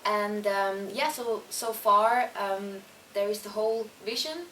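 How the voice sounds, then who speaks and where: A young woman speaking English with a Swiss German accent, relaxed and chatty, full of fillers and quick restarts. She sounds a bit distant from the mic, in an echoing room with a faint hum of ambience around her. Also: medium pitch. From Switzerland. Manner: chatty, many fillers and restarts, laughs easily. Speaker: band singer, interview guest. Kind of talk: casual interview answers. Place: concert venue before a show.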